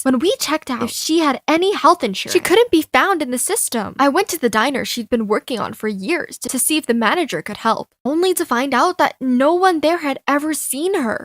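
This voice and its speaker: A woman narrating an animated story as a fan dub, expressive, theatrical and sassy. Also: sits high, no room sound, clean studio sound. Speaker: fan dubber narrating and voicing an animated teen.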